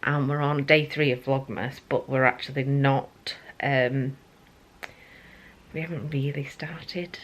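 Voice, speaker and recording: A middle-aged woman with a croaky, just-woken voice, chatting casually to her camera at home in the morning, rambling with lots of fillers. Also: a bass voice, fairly even intonation, slow pace. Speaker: vlogger. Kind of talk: casual morning vlog chat. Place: family home kitchen.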